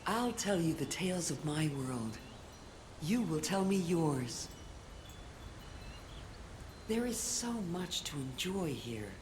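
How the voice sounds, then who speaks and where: A woman voicing a serene female video game guardian who coaxes and pleads gently. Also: low voice, big pitch swings, slow, pauses often, slight background noise, full character voice. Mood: tender, pleading. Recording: echoing game voice under music.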